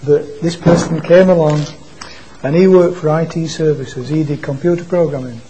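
Soft-spoken preacher. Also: male voice, fairly high pitch, fairly even intonation, background noise. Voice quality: quiet voice. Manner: halting, repeats and restarts words. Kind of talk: informal church sermon. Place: church meeting. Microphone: microphone being adjusted.

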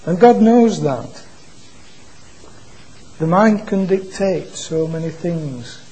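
A man preaching an informal sermon into a microphone at a church meeting, in a quiet voice that stalls and restarts on words. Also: high voice, expressive, a little hiss or hum, rough recording. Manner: halting, repeats and restarts words. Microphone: microphone being adjusted.

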